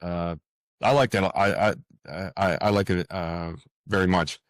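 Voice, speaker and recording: A man's voice: deep voice, fairly even intonation, a little slow, dry recording, clean studio sound. A man speaking reflectively as an interview guest, earnest and hesitant, often repeating words and phrases. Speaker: author, podcast guest.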